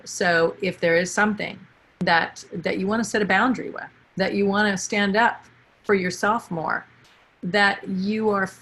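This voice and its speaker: A woman's voice: medium pitch, a bit bright. A woman guest in a podcast chat, speaking earnestly and encouragingly. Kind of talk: casual heartfelt chat.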